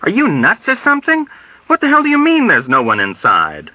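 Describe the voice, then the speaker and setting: Man playing agitated, incredulous soldier, raised pitch. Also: huge pitch swings, unhurried, husky, dry recording, phone-quality sound, full character voice. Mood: dread. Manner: shouting, incredulous. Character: bewildered, angry, agitated soldier Yossarian.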